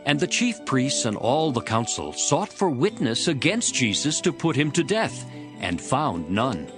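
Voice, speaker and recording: A man's voice: medium pitch, sing-song, measured pace, over music. A man narrating dramatized scripture as a storyteller between the character parts.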